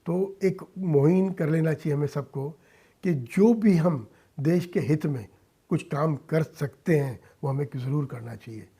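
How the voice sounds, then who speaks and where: A man giving earnest interview answers in emphatic, halting short phrases, as a guest in a radio studio. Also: fairly high pitch, dark tone, husky. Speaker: interview guest, industrialist and philanthropist. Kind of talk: earnest interview answers mixing Hindi and English.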